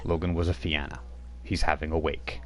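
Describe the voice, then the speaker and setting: A man running a tabletop game, recapping the last session in a loose, relaxed way with many fillers. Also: a bass voice, fairly quick. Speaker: game master.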